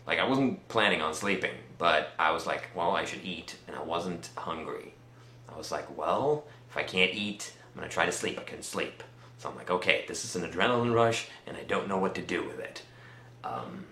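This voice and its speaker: A man with a Swedish accent telling a personal story in a casual, rambling vlog style, halting and pausing often as he goes. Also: low-pitched, pushed and bright, echoey room. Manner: halting, conversational delivery with many pauses. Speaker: vlogger.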